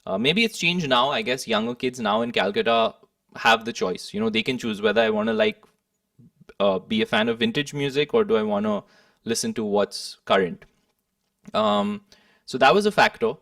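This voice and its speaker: A man's voice: medium pitch, sing-song, moderate pace, gravelly, fairly bright tone, clean studio sound. A man from India with an Indian accent talks casually and reflectively as an interviewed musician, discursive and thoughtful, often adding "like" and "you know". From Kolkata, India. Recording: roomy, slightly distant microphone.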